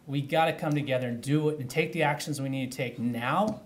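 A man's voice: medium pitch, a little fast, a little hiss or hum. Earnest man official at a podium. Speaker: county official. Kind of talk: press conference answers. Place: indoor press room at a podium. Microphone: podium microphones.

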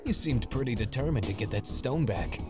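A man's voice: mid-range voice, very animated, a little fast, natural voice. A man voicing a cool, bitter, vengeful thief in a video game, sardonic and menacing. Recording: loud music behind the voice.

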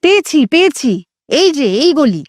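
An excitable, forceful man in an audio story, voiced by a woman, pushes his friend along with exclamations. The voice is high and lively, in a dry sound. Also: no room sound. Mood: excited. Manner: brisk, exclamatory, bossy. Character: forceful, impatient, excitable Bengali male friend.

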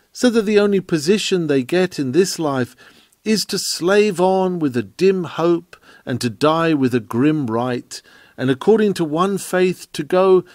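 A man reading an old sermon aloud in an emphatic, rhetorical manner with measured phrasing. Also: a little high, darkish tone, dry recording, clean studio sound. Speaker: reader of a historical sermon.

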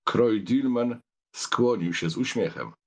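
Man for expressive novel narration: creaky, raspy voice at a middle pitch. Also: takes it slowly. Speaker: audiobook narrator. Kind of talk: expressive scripted literary narration.

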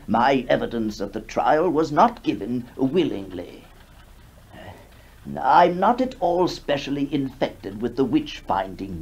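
A man playing a troubled, earnest country gentleman in a radio ghost story, hesitant and musing. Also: medium pitch, breathy edge, highs cut off, full character voice. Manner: hesitant, musing, with ums and sighs.

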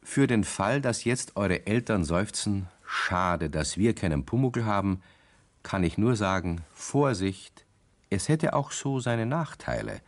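A man's voice: lowish voice, lively intonation, a little slow. A man narrating a children's radio story in a playful way, speaking directly to young listeners.

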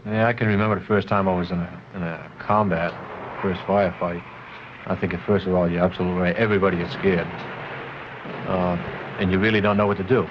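An American veteran reminisces informally in a deep voice. The old film recording is muffled and clearly noisy. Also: male voice, level tone, fast. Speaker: combat veteran. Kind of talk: unscripted interview recollection.

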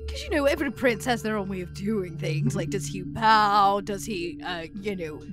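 A woman's voice: medium pitch, animated, normal speed, over music. A woman playing a tabletop game, chatting and role-playing in a playful, breezy way. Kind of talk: casual in-game chat and role-play.

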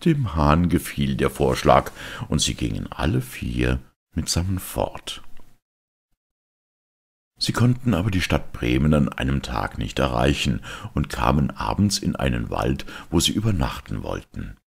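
Man reading a fairy tale, very deep voice, gravelly, measured, lively intonation. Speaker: narrator.

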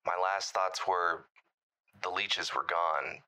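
An actor playing a bitter caller who survived a monster attack, recounting it grimly in an audio drama. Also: male voice, sits low, fairly even intonation, slow, pushed and bright, heavily acted. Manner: grim storytelling, bitter.

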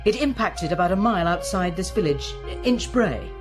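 A woman's voice: on the low side, animated, moderate pace, close to an everyday voice. An actress playing a grave woman giving a military briefing in a radio drama. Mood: grave, urgent. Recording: music behind the voice.

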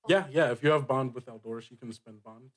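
A man speaking as game master, improvising narration and rulings, halting as he thinks aloud. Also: mid-range voice. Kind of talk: improvised tabletop game narration and casual table talk.

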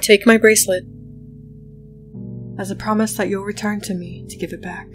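A woman's voice: medium pitch, steady tone, moderate pace, faint music behind, close to an everyday voice. A woman playing a devoted, wounded but defiant inventor in a dramatic comic dub, speaking earnestly with emotional weight. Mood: tender, sad.

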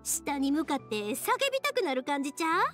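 An earnest, cheerful young firework maker in an anime game. Her voice is high and very animated, with a Kansai accent and heartfelt expression over soft background music. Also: a little fast.

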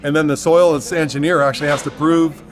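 A man in his fifties with a Northern Californian accent explains construction details step by step, in an even, technical way. His voice sits a touch higher than most men's. He is recorded outdoors on a job site with a clip-on mic. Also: a little fast, music in the background. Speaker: builder. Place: outdoor construction site by a basement form wall.

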